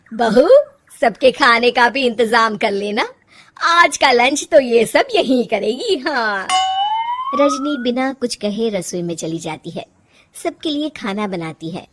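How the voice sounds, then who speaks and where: A woman storyteller with a high voice reads a scripted children's tale. Her pitch moves up and down in a very lively way as she narrates. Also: breathy edge.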